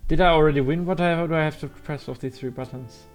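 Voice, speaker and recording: Higher-voiced German male let's player, music behind. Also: fast. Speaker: host of a gaming channel. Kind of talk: casual let's play commentary.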